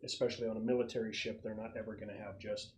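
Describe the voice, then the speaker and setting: A man acting as game master, explaining the setup casually in a halting, thoughtful way. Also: fairly low pitch, little pitch movement, a little hiss or hum. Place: casual online or home gaming session.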